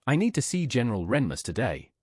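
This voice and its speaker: Actor as game adventurer, businesslike, dry sound, lively swinging intonation. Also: mid-range voice, quick pace, breathy edge, no room sound. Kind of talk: terse game dialogue.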